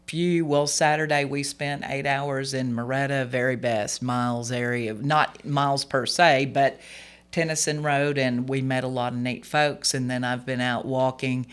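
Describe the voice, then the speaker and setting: A hoarse, slightly gravelly voice from someone in their forties, pitched in a plain middle register. They talk earnestly as a political candidate being interviewed, wandering through fillers and false starts. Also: little pitch movement, unhurried. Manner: rambling, many fillers and restarts, earnest. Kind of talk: radio interview guest.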